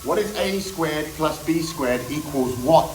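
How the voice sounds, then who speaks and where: A man playing an exasperated schoolteacher who yells at his students. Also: a little high, measured pace, close to an everyday voice. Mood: exasperated yelling. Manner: yelling, exasperated. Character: angry shouting male schoolteacher. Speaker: teacher figure. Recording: echoey room with loud background noise.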